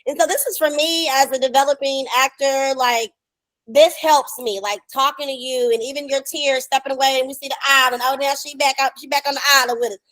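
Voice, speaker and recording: A woman's voice: sits high, steady tone, fairly quick, a bit bright, no echo, low-quality recording. A middle-aged American woman hosting a podcast, chatting casually in an effusive, slangy way over a video call. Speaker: podcast host and developing actor. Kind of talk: casual emotional conversation.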